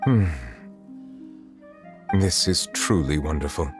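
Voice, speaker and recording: Dignified game judge, deep voice, measured formal phrasing, composed, solemn. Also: male voice, animated, over music, exaggerated voice. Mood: composed, resolute. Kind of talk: video game voice acting.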